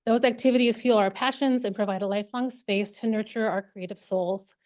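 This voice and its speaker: A woman's voice: mid-range voice, steady tone, fairly quick, darkish tone. A middle-aged woman giving a prepared acceptance speech as a parent volunteer. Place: meeting room, speaking at a microphone.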